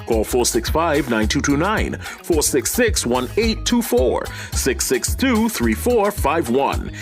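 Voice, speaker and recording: A man's voice: fairly high pitch, big pitch swings, slow. A man reading a scripted store advertisement as an announcer. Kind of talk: scripted hardware store ad read. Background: music.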